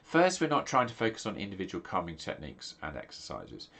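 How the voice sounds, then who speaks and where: A man's voice: low-pitched, wildly swooping pitch, a little fast, gravelly, fairly bright tone. A middle-aged man from England, a pastor, talking casually to camera with a stuffy, nasal voice and many ums and restarts. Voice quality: nasal, congested. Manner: rambling, many fillers and restarts. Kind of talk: casual video talk.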